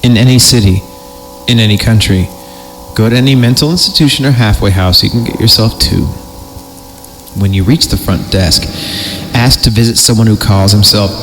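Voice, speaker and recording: A man's voice: on the low side, normal speed, long pauses, a bit bright, slight background noise, poor audio. A man hosting a podcast, reading creepypasta horror stories in a comedic dramatic style with wry joking asides, over music.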